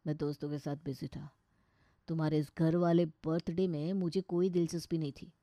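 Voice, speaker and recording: A woman acts out an irritable young husband in a dramatised moral story. He is scornful and short-tempered, scolding in a loud, sarcastic way. The sound is dry. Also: low-pitched, little pitch movement, normal speed, no echo, lightly acted.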